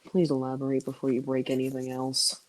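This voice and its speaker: Girl putting on a gloomy emo dude for an audio story, very deep, dry sarcastic monotone, close dry sound. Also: a little fast, no echo, rough recording. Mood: deadpan. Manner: monotone, grumbling deadpan. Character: gloomy emo young man, dry sarcastic piano player.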